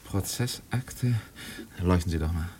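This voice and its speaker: An actor playing an earnest, impatient investigator in a German radio play, muttering urgently. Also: male voice, low voice, a little slow, a little mellow, slight background noise. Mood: puzzled, intent. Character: earnest, impatient male investigator digging through old files.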